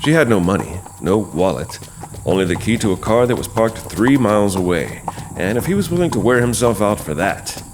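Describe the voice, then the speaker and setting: A man narrating a horror audio drama as host, in a measured, dramatic storytelling manner with pauses. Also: fairly low pitch, sing-song, noisy background.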